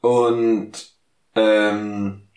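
A man's voice: lowish voice, level tone, drawn-out words, darkish tone, echoey room, soft background music. A drunk man co-hosting a podcast, talking hesitantly and rambling with lots of ums and restarts. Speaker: podcast co-host. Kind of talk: casual drunken podcast banter.

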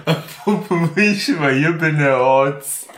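A man's voice: on the high side, a little slow, fairly bright tone, echoey room. A drunk man co-hosting a podcast, cracking jokes and giving mock advice in an ironic tone. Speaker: podcast co-host. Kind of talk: casual drunken podcast banter.

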